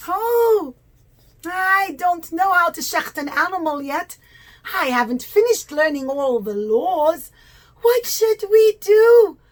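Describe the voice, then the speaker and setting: Simple ancient villagers in a children's story, acted out by a woman, speak in a high, exclaiming voice. They wonder aloud with exaggerated, naive earnestness as they work things out. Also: lively intonation, slow pace, full character voice. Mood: worried, perplexed.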